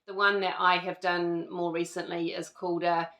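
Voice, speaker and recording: A middle-aged woman with a New Zealand accent chats to her viewers from home, rambling through fillers and restarts. Her voice sits a little low and has a gravelly edge. The room around her sounds echoey. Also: little pitch movement, normal speed, a bit bright. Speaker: vlogger. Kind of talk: casual chat to camera. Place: at home indoors.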